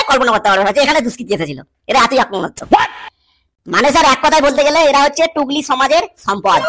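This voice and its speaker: A woman's voice: high-pitched, a bit bright. A cheeky cartoon schoolboy acted by a woman speaks. He lectures theatrically, piling up repeated phrases in comic, overdramatic fashion. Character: dramatic, cheeky cartoon schoolboy explaining exam cheats.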